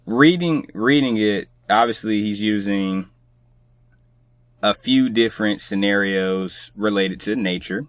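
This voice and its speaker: A man's voice: lowish voice, slow pace, no echo. A man speaking casually and conversationally as a guest on a podcast.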